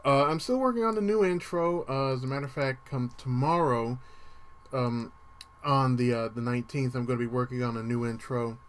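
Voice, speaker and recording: Guy w a dry voice hosting a music show, casual and rambly, lots of ums, clears his throat a bunch. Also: mid-range voice, fairly quick. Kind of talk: casual radio-style show talk.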